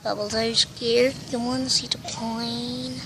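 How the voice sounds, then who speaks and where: A woman's voice: on the high side, measured pace. Rambling Filipino-accented woman, noisy outdoor clip. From Philippines. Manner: rambling, excitable, thinks out loud. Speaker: vlogger. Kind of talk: casual vlog commentary.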